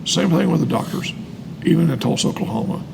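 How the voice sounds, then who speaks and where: An older man giving casual, earnest explanations with anecdotes, often pausing mid-sentence. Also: lively intonation. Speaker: speaker on alcoholism recovery, retired medical worker. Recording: clear background noise with low rumble.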